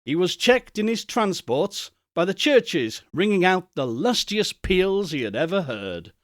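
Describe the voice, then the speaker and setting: Expressive male storyteller, slow and animated. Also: sits high, no echo, studio quality. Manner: theatrical, expressive. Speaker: audiobook narrator. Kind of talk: dramatic Victorian storybook narration.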